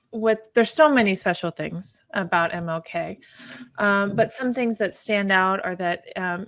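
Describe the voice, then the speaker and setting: A woman lawyer, a native English speaker, talking on a casual online talk show in halting Spanish that often slips into English. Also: medium pitch, fairly bright tone. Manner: slow, hesitant Spanish with frequent switches to English. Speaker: immigration lawyer, show regular.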